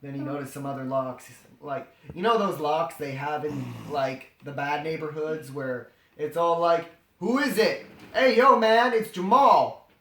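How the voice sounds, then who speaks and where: A man's voice: on the high side, measured pace, hardly any pauses, fairly bright tone. A man reading a story aloud as comedy, breaking off into jokey, dramatized ad-libbed asides. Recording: roomy echo, faint noise and clicks.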